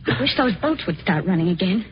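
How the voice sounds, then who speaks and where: An actress playing an earnest young secretary with an urgent, dramatic old-time radio suspense delivery. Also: female voice, medium pitch, sing-song, a little fast, lightly acted. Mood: uneasy. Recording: muffled, noisy vintage radio recording.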